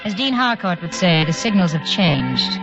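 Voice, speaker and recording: A woman's voice: fairly low pitch, big pitch swings, normal speed, over music. An actress playing a wry, knowing woman friend in a 1940s radio drama. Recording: dull lo-fi 1940s radio recording.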